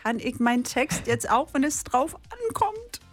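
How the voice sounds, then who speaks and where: A woman from Denmark with a Danish accent, chatting as a guest on a radio talk show, lively and quick to laugh, with many small hesitations. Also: high voice, breathy edge, soft background music. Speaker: guest musician and actress. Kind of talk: radio interview chat.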